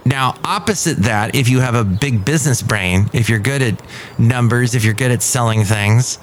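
A middle-aged man hosting a casual podcast from a café, talking fast and rambling, often cutting himself off mid-thought and wandering off topic. His pitch sits at an ordinary level for a man, and a faint hum of room noise runs behind him. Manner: chatty, self-interrupting, drifts off topic. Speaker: podcast host.

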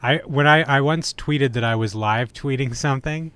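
A man's voice: medium pitch, normal speed, fairly bright tone, slight background noise. A man co-hosting a podcast, asking short questions and chatting casually with his guest. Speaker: podcast co-host and interviewer. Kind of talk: casual quick-fire interview chat.

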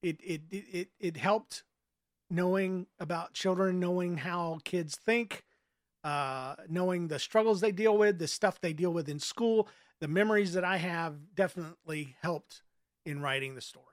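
A man speaking as an author in a casual interview, giving earnest answers in a thoughtful, halting way. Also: sits high, no room sound, clean studio sound.

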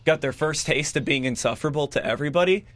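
Bright-voiced, rambling young man. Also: fairly high pitch, fast talker, no echo. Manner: rambling, hesitant, ironic. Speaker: podcast co-host. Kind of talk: casual political chat.

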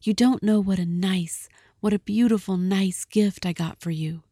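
A woman's voice: medium pitch, normal speed, warm tone, no echo, studio quality, close to an everyday voice. A woman reading a storybook aloud, voicing an anxious, loving young wife with a pleading, breathless manner.

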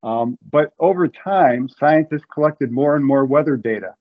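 A man's voice: medium pitch, normal speed, phone-quality sound. A man from Ohio, a guest in an interview, explaining at length with frequent restarts. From Ohio, USA.

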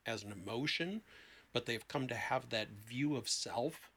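Bright, clear-cut male therapist explaining. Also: medium pitch, a little slow. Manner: explanatory, illustrating with anecdotes and quoted dialogue. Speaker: therapist, interview guest. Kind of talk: conversational explanation.

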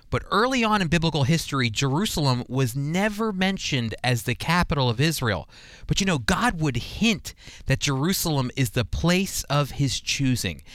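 A man's voice: fairly high pitch, a bit bright, dry recording, clean studio sound. A man hosting a radio Bible teaching program, speaking conversationally and repeating phrases for emphasis.

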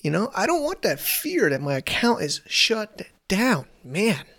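Late-30s man, gravelly voice, exasperated repetitive vlog rant. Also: high-pitched, big pitch swings, a little slow, bright, forceful tone. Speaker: internet marketer. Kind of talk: casual vlog monologue.

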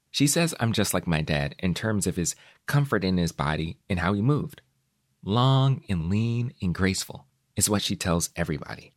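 Male story reader, lowish register, highly animated delivery, conversational and wry, in a dry studio-like sound. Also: dry recording. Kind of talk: expressive first-person story narration in a conversational teenage voice.

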